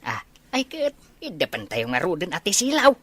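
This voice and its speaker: An actor playing a chatty, middle-aged husband and father with a husky voice in an Ilocano audio drama. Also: high voice, huge pitch swings, normal speed, fairly bright tone, exaggerated voice.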